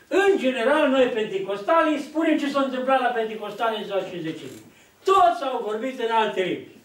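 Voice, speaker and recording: Older male preacher, high voice, lively storyteller; distant mic in an echoey hall. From Romania. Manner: storytelling, acts out quoted dialogue, gestures widely. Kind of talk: sermon. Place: church hall, at a lectern. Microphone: far camera.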